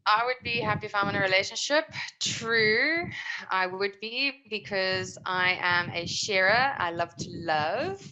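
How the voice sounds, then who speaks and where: A woman's voice: medium pitch, expressive, unhurried, pushed and bright, low-quality recording. A woman in her late twenties, a panel guest speaking openly and expressively in a casual discussion. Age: 20s.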